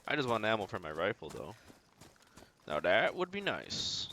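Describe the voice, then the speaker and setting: Male game streamer, lower-pitched, laid-back running commentary over audible background game noise. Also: wildly swooping pitch, normal speed, bright, forceful tone. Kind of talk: casual gameplay commentary, thinking aloud.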